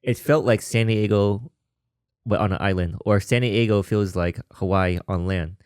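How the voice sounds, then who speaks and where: A man from Vietnam hosts a podcast in a fairly low voice. He chooses his words with care, hedging with restarts and qualifiers. The recording is dry. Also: moderate pace, a little mellow, no room sound. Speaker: podcast host. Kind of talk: casual conversational interview.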